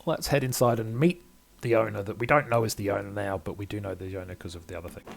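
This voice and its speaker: An older man with a creaky, gravelly voice reads a game's story text aloud at a quick pace. He hesitates, corrects himself and drops in asides as he commentates. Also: on the low side, steady tone, slight background noise. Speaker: let's player reading game text aloud and commenting.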